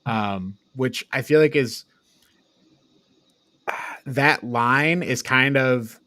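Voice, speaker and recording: A man's voice: mid-range voice, very slow, pushed and bright, dry recording. A man co-hosting a podcast, retelling a film in casual, rambling, sweary chat with frequent chuckles. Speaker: podcast co-host.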